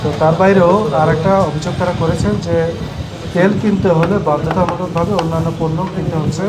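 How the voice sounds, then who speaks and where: A man's voice: a little high, steady tone, fast talker. A Bangladeshi man speaking as a consumer rights official, formally questioning and explaining rules during an inspection. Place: recorded on location at market shops. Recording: busy outdoor market street noise.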